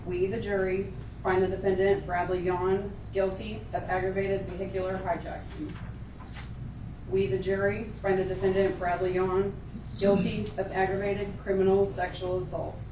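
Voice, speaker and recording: A woman working as a court clerk, reading formal verdicts and polling questions aloud in a measured, official way. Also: mid-range voice, level tone. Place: indoor courtroom. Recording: roomy, distant courtroom pickup with clear noise.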